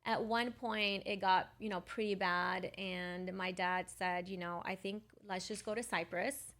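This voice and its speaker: A woman's voice: medium pitch, steady tone, unhurried, fairly bright tone. A middle-aged woman from Lebanon telling her personal story conversationally as a podcast guest. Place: podcast studio.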